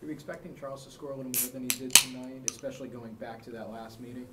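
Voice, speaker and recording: A man's voice: medium pitch, flat delivery, quick pace. A man working as a sports reporter, asking a question at a press conference. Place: press room indoors, off camera. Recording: very noisy room recording.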